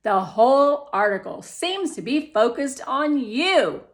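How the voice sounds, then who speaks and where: A woman voicing a cheerful, doting, excitable mother in a storybook, gushing and chatty. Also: sits high, slow pace, pitched above the performer's own voice, exaggerated voice. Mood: reassuring.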